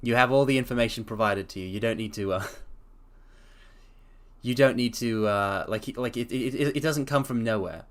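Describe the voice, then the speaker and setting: Man, streamer, reads aloud, middle pitch, self-correcting, chatty asides. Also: moderate pace. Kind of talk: live-streamed dramatic reading with commentary.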